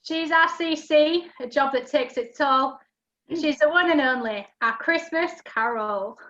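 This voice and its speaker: A woman's voice: high voice, a little slow, pushed and bright, a little hiss or hum. A woman giving scripted rhyming narration for an amateur pantomime over a video call. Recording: dull lo-fi video call audio.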